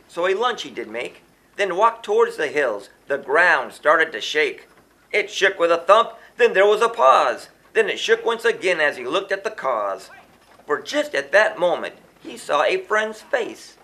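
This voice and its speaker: A man's voice: on the high side, very animated, normal speed, sharp tone. A man reading a rhyming children's story aloud in a theatrical, sing-song, emphatic storyteller's delivery. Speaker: children's book author reading their own book.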